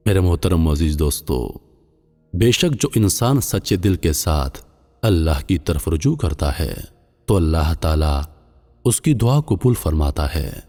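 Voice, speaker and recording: A man narrating a scripted religious moral story in a solemn, deliberate manner. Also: low voice, warm tone. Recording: long reverb, music underneath. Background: music.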